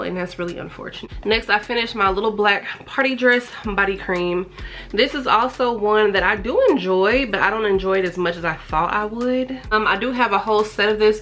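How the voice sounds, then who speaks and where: A woman in her thirties talks straight to camera, her voice congested and a touch raspy from a cold. She chats eagerly about scents and lotions she has used up, recorded close on a compact camera's built-in mic in a small bedroom. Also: medium pitch, fairly bright tone. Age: 30s. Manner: chatty, enthusiastic about scents. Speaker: beauty and fragrance vlogger. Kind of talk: casual product review to camera. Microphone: compact camera's built-in mic at close range.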